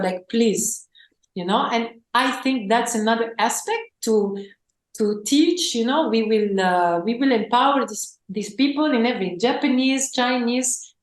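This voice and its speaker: Effusive higher-voiced woman, echoey room. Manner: effusive, rambling, frequent restarts. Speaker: guest, spiritual teacher. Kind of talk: enthusiastic interview talk.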